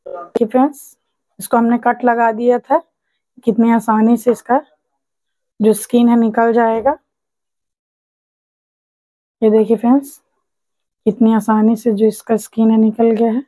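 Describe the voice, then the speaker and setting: A woman's voice: a little high, little pitch movement, unhurried, pauses often, mellow, no room sound, rough recording. A woman hosting a cooking channel, patiently walking viewers through a recipe step by step and often calling them friends. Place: home kitchen.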